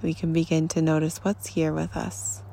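A person leading a guided meditation in a gentle, soothing, unhurried manner, recorded live outdoors. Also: medium pitch, flat delivery, creaky. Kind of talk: slow guided meditation and poem reading. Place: outdoors among hills and wildflowers. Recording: outdoor live recording, loud wind and nature noise. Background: wind, birds, nature sounds.

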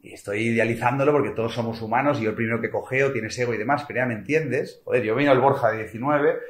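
A passionate man from Spain talks as a guest on a podcast. His voice sits at a middle pitch, and his delivery is emphatic and colloquial, jumping between quiet and loud. The room around him sounds echoey. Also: fast. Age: 40s. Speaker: guest author. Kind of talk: reflective podcast conversation.

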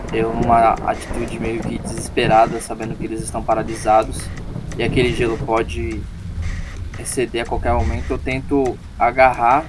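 A man, a player describing his character's actions in slangy, halting speech. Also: medium pitch, noisy background. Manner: slangy, halting with repeated words. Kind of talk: in-character casual play. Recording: with music bed.

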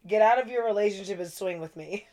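A woman's voice: mid-range voice, fast, dark tone. A young woman co-hosting a casual podcast, laughing often and swearing freely. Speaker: podcast co-host. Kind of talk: casual chat.

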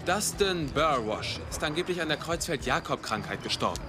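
An actor playing a serious, thoughtful young monster hunter with an earnest, questioning manner in a dubbed TV drama. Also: male voice, on the high side, lively intonation, normal speed, background noise, close to an everyday voice. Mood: serious, explaining. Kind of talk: German-dubbed TV series acting. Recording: loud music over the voice.

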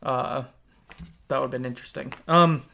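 A man's voice: medium pitch, fairly even intonation, moderate pace, gravelly, pushed and bright. A man co-hosting a podcast, chatting casually and retelling a game's story with acted-out asides. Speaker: podcast co-host. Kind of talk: casual chat recapping a video game story.

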